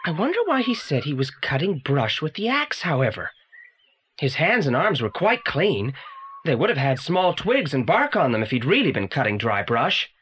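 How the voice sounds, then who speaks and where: A man's voice: fairly high pitch, very animated, normal speed, a bit bright, breathy edge, heavily acted. An actor playing a pompous, unflappable teenage boy detective in an audio drama, speaking in precise, formal, long-winded sentences. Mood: polite, analytical.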